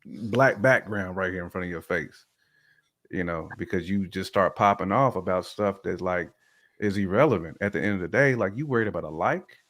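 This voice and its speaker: A man's voice: sits low, moderate pace, fairly bright tone. A man giving his opinions in casual, slangy conversation as a guest on a talk show. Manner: colloquial, slangy phrasing.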